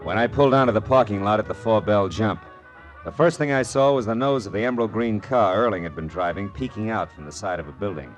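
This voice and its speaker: An actor playing a curt, sardonic private detective in a 1940s hardboiled radio drama, with a clipped, commanding, wisecracking delivery. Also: male voice, lowish voice, quick pace, dull audio, soft background music, natural voice. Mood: wry, narrating. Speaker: detective and narrator.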